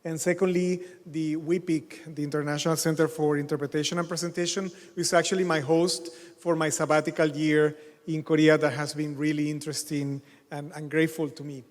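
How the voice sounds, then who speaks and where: A middle-aged man giving a conference keynote, halting with many fillers. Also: on the high side, flat delivery. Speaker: keynote speaker. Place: large hotel conference hall. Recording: long hall reverb.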